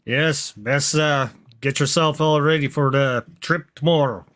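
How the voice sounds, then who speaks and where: A man's voice: fairly high pitch, measured pace, a bit bright. A man playing a tabletop roleplaying game, describing his character in the third person.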